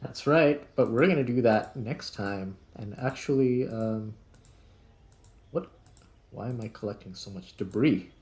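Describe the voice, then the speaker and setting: A man's voice: medium pitch, measured pace, a little mellow. A man hosting a gaming channel, giving casual gameplay commentary and thinking aloud as he plays. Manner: thinks aloud while playing. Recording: clear background noise.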